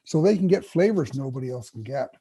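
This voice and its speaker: Husky, mellow man who keeps clearing his throat. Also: medium pitch, expressive, fast. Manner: clears his throat often, emphatic and knowledgeable. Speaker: guest whisky expert. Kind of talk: casual interview talk.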